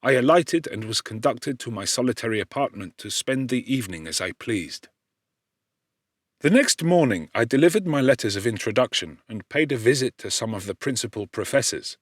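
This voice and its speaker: A man's voice: medium pitch, expressive, moderate pace, no echo. Man reading a classic novel, dry close sound. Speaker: audiobook narrator. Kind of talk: scripted literary narration of a classic novel.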